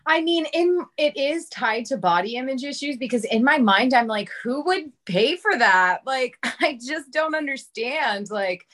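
A woman's voice: high voice, animated, measured pace, hardly any pauses, a bit bright. Casual, candid woman in her thirties. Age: 30s. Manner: informal, self-deprecating, frequent 'like'. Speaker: podcast guest, comedian and content creator.

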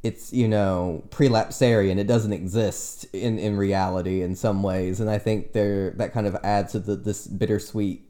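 A man's voice: on the low side, steady tone, normal speed, hardly any pauses, warm tone. A man in his late twenties, a teacher guesting on a podcast, chatting casually with lots of fillers and restarts. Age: 20s. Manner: rambling, self-deprecating, frequent restarts and fillers.

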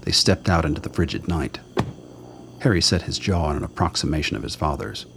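A man's voice: rumbling low, animated, fairly quick, background noise. A man narrating a scripted radio drama in a dramatic storytelling style. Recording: background ambience under narration.

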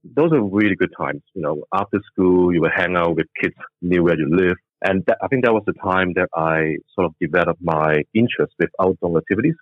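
A man's voice: low-pitched, fairly quick, hardly any pauses, no room sound. A man with a Hong Kong accent, a guest telling his story in an easygoing, thinking-aloud way over a remote call. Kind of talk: casual interview conversation. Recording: dry tinny phone-like line.

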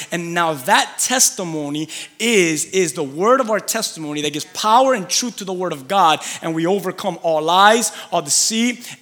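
Fervent, bright-voiced male pastor. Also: on the high side. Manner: repeats words, fervent call-and-response. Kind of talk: sermon with call for testimonies.

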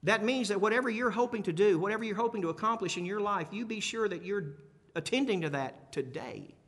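Bright, forceful male preacher in a hall. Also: high-pitched, animated, a little fast. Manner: emphatic, repeats key phrases for effect; conversational, rhetorical questions to listeners. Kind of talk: sermon to a congregation. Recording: long hall reverb.

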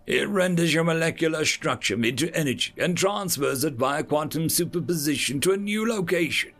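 A man's voice: fairly high pitch, animated, a little fast, a bit bright, faint music behind, pitched above the performer's own voice. A man voicing Chorus, a distraught, preachy male alien with tentacles, in a storybook reading. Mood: lecturing. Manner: anguished, lecturing.

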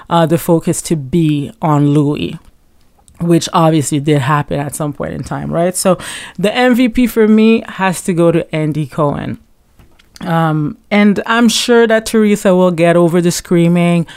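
Chatty woman with a slightly raspy sore throat. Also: on the low side. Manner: chatty, animated, frequent fillers. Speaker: podcast host. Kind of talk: casual gossip commentary.

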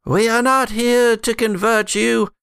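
A man's voice: extremely high pitch, level tone, unhurried, vocal fry, fairly bright tone, smooth, no room sound, clean studio sound, voice pushed up, heavily acted. A man voicing a menacing rabbit-headed cultist in a tabletop game, declaiming solemnly.

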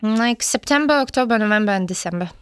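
Smooth-voiced cheerful Ukrainian woman. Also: fairly high pitch, animated, quick pace, fairly bright tone, no echo, studio quality. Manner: cheerful, giggly. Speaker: interview guest, student care manager. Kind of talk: casual interview chat.

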